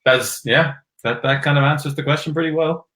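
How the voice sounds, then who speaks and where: A man's voice: a little high, fairly even intonation, brisk, a bit bright. A man chatting as a guest on a live stream, asking questions in a dry, laid-back way over a video call. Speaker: guest, whiskey brand ambassador. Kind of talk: casual live-stream chat. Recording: echoey room on a call.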